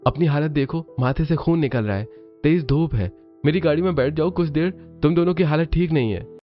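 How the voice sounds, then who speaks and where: A man's voice: fairly high pitch, a little fast, lo-fi sound, faint music behind, voice pushed up, close to an everyday voice. A man voicing a caring, earnest rich young man in a cartoon story. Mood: concerned, gentle.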